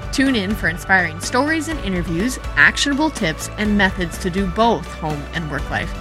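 A woman reading a scripted promo for her podcast in an earnest, encouraging tone. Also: mid-range voice. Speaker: podcast host in an ad. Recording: studio read over clear music.

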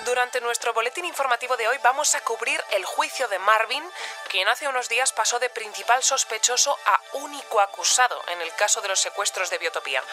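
A woman's voice: medium pitch, natural voice. Casting: actress as composed woman newscaster, Spain Spanish, mid-range voice, polite scripted bulletin for audio drama, music underneath. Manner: polite, clear presenter delivery. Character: composed female news bulletin presenter. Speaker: news presenter and debate moderator.

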